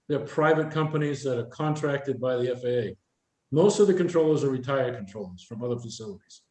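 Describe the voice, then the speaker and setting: A man's voice: fairly high pitch, a little mellow. An older man giving an informal, instructive career presentation, explaining things and putting questions to his listeners. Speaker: presenter, retired air traffic controller. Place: indoor room with a live audience. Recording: roomy, echoing presentation room sound.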